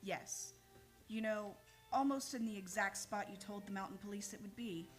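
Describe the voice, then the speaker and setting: Woman as serious police lieutenant, measured and official, old radio drama, music behind. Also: medium pitch, natural voice. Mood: grave. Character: grave, formal police lieutenant delivering bad news.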